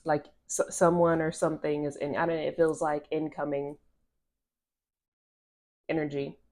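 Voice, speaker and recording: A low-pitched woman with a congested, nasal voice reads tarot cards straight into her phone camera. She talks casually and rambles, restarting phrases and leaning on "like" as she feels her way through each card. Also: little pitch movement, normal speed, darkish tone. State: stuffy nose from allergies. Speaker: tarot reader.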